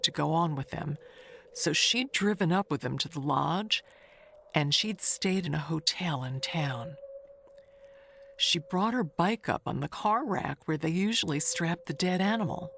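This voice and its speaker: A woman playing a gossipy, wistful, never-married older neighbor who confides with pointed pauses and hushed asides in an audio mystery monologue. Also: sits low, gravelly, a little hiss or hum, heavily acted.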